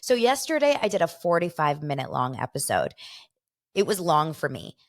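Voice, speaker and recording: American female podcast host, forties, slightly low register, informal and jokey, chatting directly to her audience. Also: big pitch swings, moderate pace. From Los Angeles, United States. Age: 40s. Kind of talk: casual solo entertainment gossip chat.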